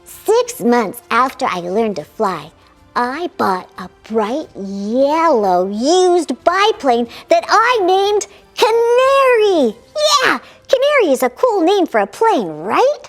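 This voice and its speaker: A woman's voice: high-pitched, very animated, slow pace, soft background music, exaggerated voice. A woman voicing a spirited, boastful young woman aviator with cheeky dramatic asides, in a children's storybook read-aloud. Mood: excited.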